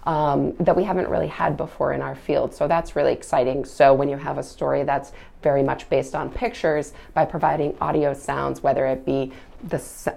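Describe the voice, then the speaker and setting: A woman hosting a children's read-aloud, speaking in a measured, expressive way. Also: sits low, vocal fry. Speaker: host reading a children's book aloud and answering questions. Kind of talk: read-aloud storytelling and informal explanatory talk.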